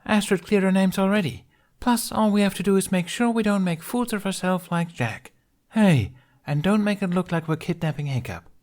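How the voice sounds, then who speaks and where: A man's voice: high-pitched, moderate pace, a little mellow, voice pushed up, lightly acted. A man voicing a cheerful, hyperactive tiny young woman, chirpy and enthusiastic, in an audiobook story. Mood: bubbly, teasing. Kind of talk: audiobook character voice.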